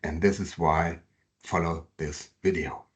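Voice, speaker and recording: A man from Germany with a German accent, explaining in earnest how to learn his native language. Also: measured pace. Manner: earnest, explanatory, with lively pitch swings. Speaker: language teacher. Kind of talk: language lesson to camera. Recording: dull lo-fi sound.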